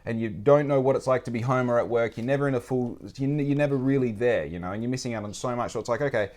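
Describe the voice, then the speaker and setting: Animated man, swearing freely. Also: medium pitch, fast talker. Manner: animated, swearing freely, repeats phrases for emphasis. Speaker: podcast host. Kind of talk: casual podcast conversation.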